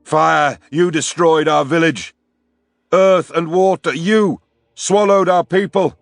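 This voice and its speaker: A man's voice: a little high, slow, vocal fry, no echo, clean studio sound, pitched above the performer's own voice. A man voicing a proud orc chieftain who bellows his grief to the spirits in an audiobook. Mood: roaring anguish. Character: grieving male orc chieftain, proud and fierce.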